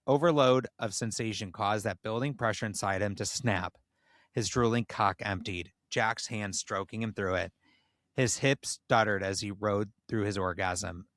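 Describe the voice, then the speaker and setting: A man's voice: fairly low pitch, a bit bright, no echo, clean studio sound. A man co-hosting a comedy podcast, reading a story aloud in dramatic narration. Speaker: podcast co-host. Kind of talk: comedic dramatic reading of erotic fan fiction.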